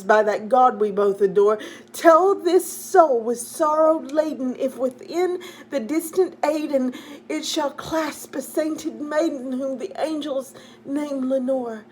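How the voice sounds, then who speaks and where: Woman as a tormented grieving man, higher-pitched, declamatory. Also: darkish tone. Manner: impassioned, pleading, declamatory. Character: tormented grieving man, desperate and haunted, Gothic poem narrator.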